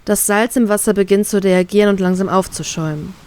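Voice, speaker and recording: A woman reads her first-person romance story aloud in a calm, steady way. Her voice sits at a middle pitch, neither high nor low. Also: fast. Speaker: fan fiction reader.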